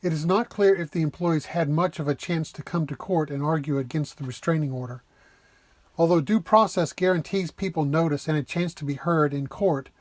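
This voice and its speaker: Male lecturer, slightly high voice, close dry sound, methodical plain-spoken explainer read. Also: no room sound. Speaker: lecturer explaining a legal case.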